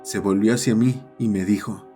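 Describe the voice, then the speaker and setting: A man playing a schoolboy who narrates his own horror story in a measured, serious first-person style. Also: on the low side, steady tone, mellow, soft background music, lightly acted. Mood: sombre.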